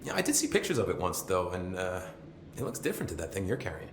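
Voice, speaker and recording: An actor playing a chatty, nervy, loyal maintenance security chief in a video game, rambling informally with wry swearing. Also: male voice, low-pitched, sing-song, quick pace, reverberant, slight background noise. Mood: wry, candid.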